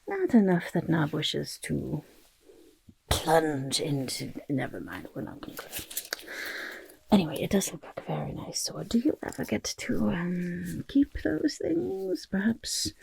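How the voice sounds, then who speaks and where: Raspy, whispery goblin artist. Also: female voice, lowish voice, dark tone, heavily acted. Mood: curious, covetous. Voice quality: raspy, breathy. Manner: speaks in third person, hesitant, fussy, coaxing. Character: chatty, eager, self-important little goblin portrait artist. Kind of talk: ASMR personal attention roleplay, half-whispered.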